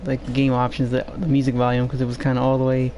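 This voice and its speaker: A shy male streamer with a low voice, talking in an even, flat tone. He hesitates and fills gaps with "you know" and "like". A home desk microphone picks him up over a faint hiss. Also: fast. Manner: soft-spoken, shy and hesitant, many fillers. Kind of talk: casual gameplay stream chat.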